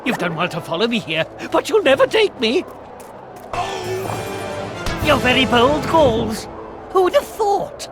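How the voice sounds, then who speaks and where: An actor playing a scheming, vengeful Roman senator in a cartoon game, with a theatrical, gloating delivery. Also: male voice, very high-pitched, very animated, measured pace, long pauses, over music, exaggerated voice. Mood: gloating, defiant. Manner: theatrical, gloating, prone to shouting.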